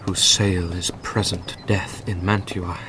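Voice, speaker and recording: Casting: grief-stricken young noble, British, slow deliberate Shakespearean radio acting, clearly noisy background. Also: male voice, sits low, level tone. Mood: brooding, desperate. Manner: theatrical, deliberate verse delivery.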